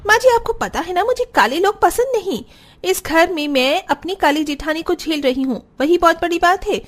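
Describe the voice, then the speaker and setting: A woman's voice: very high-pitched, lively intonation, moderate pace. A woman voicing a spiteful, haughty young sister-in-law in a cartoon story, bossy and scornful. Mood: demanding. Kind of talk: Hindi cartoon story dialogue.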